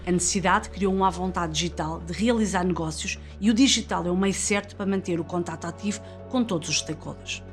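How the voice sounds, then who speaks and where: Lower-voiced woman, European Portuguese accent, corporate narration. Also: quick pace, hardly any pauses. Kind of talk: scripted corporate report narration. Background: music.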